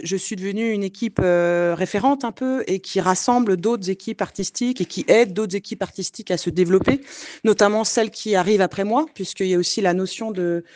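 A woman's voice: medium pitch, a little mellow, dry recording. A woman from Le Mans, a choreographer and company director, speaking earnestly in a debate with many hesitations. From Le Mans, France. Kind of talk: debate testimony.

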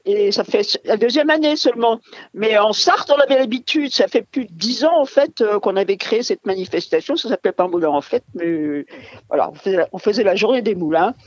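Older woman, halting and rambling. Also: medium pitch, dry recording, dull audio. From France. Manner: halting, rambling, many fillers. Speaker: mill owner, radio guest. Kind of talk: radio interview.

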